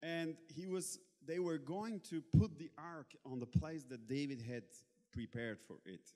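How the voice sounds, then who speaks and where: A Bulgarian-born man preaching in English, pausing often as he searches for words, with a playful edge. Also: fairly high pitch, warm tone. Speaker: guest preacher. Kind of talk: sermon in English with interpreter. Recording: long hall reverb.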